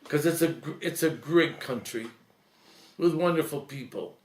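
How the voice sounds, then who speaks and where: A man, a priest, giving an earnest, reflective talk, slowing and softening at emotional points. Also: a little high, reverberant. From Lebanese heritage.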